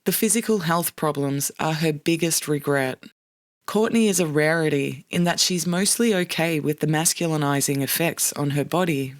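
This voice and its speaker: A podcast narrator reading a scripted documentary narration in a measured, serious way. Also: medium pitch, dry recording. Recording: dry studio voice with faint music.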